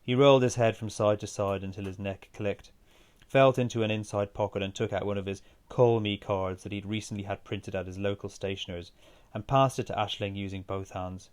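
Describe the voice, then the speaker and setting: A man's voice: on the low side, steady tone, brisk, a little mellow. A man reading his own literary short story as scripted narration.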